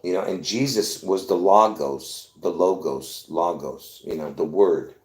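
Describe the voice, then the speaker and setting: A man's voice: deep voice, a little slow, a little mellow, roomy sound. A man born in Lebanon, hosting a live video in a rambling, insistent monologue full of restarts. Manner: insistent, repetitive, with many restarts. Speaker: conspiracy video host.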